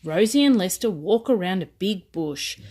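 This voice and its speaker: A woman's voice: medium pitch, animated, moderate pace, darkish tone. Woman reading children's bedtime stories. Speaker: children's story reader. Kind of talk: scripted bedtime storybook narration.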